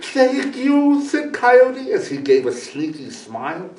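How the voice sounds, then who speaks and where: A man reading a children's story aloud as a guest storyteller, in an animated way. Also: a little high, a little slow. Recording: echoey room, distant microphone.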